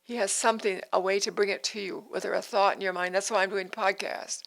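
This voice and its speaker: A woman's voice: mid-range voice, steady tone, a little fast, gravelly, a bit bright, rough recording. A woman in her eighties, hosting a devotional podcast in an earnest, repetitive way with frequent restarts. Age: 80s. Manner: earnest, repeats phrases, restarts sentences. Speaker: podcast host.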